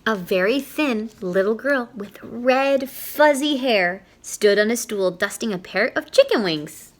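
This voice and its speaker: A woman's voice: a little high, lively intonation, measured pace. A woman reading a children's picture book aloud expressively, stopping to put questions to young listeners.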